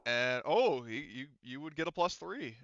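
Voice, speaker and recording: A man's voice: mid-range voice, very animated, measured pace, a bit bright, lo-fi sound, slight background noise. A man working as game master, running a tabletop roleplaying game in a casual, freely swearing manner.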